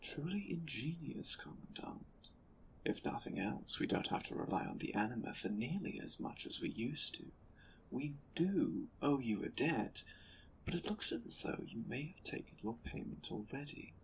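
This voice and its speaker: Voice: medium pitch, expressive, a little hiss or hum, natural voice, rough recording. Actor as wry, swooping sci-fi Eminence. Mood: wry, condescending. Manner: measured, theatrical, superior, wry. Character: The Eminence, amused, condescending superior of a sci-fi order. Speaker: guest voice actor. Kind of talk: audio roleplay acting.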